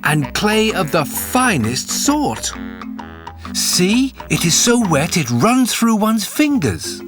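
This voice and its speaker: A man voicing a foolish, excitable young man, giddy and boastful, in a storybook reading. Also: high-pitched, slow pace, over music, pitched above the performer's own voice, full character voice. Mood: pleased. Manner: giddy, shouting, sing-song.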